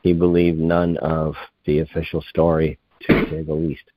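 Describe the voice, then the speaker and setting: A man's voice: a bass voice, level tone, normal speed, no room sound, dull audio. A man in his fifties, a guest researcher, talking in a meandering, digressive way that keeps correcting itself mid-sentence. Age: 50s. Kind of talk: rambling conversational interview.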